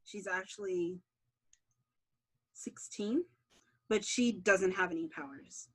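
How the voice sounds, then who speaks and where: A woman's voice: mid-range voice, takes it slowly, breathy edge. A woman series creator answering interview questions in a casual way.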